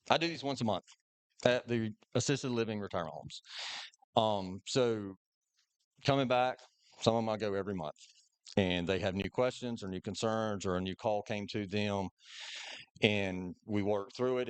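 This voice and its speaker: A man, a detective, giving a loud, chatty and joking talk to an audience. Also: mid-range voice, clean tone, dry recording. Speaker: sheriff's detective presenting on scams. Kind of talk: informal presentation to an audience. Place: community meeting hall.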